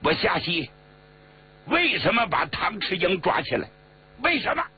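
A man voicing a blunt, furious army commander in a loud, demanding, indignant way within a told story. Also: high-pitched, big pitch swings, unhurried, heavily acted. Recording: muffled radio-like recording with mains hum.

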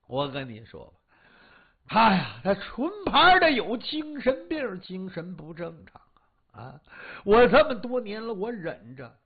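A drunk husband pouring out grievances in a whiny, stammering voice, as a storyteller puts him on. The tone is husky and lurches up and down in pitch. The sound is dull and lo-fi. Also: heavily acted. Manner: whining complaint, stammering. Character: drunk aggrieved husband pleading his case.

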